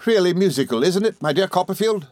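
Man performing good-natured gentleman, audiobook character, high and very animated pitch, gushing, excitable exclamations. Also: fast talker, dry recording, studio quality. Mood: merry. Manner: effusive, exclaiming, repeats himself excitedly. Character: exuberant, gushing, good-natured young gentleman, old friend.

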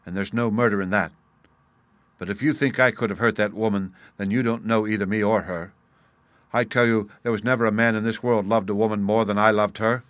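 A man's voice: fairly low pitch, a bit bright, no echo, natural voice. Audiobook villain, played by a male narrator: swaggering American crook, blunt, proud, defiant, closely recorded and dry. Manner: blunt, colloquial, defiant speech. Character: swaggering American criminal, fierce, grief-stricken and proud. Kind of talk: audiobook character dialogue.

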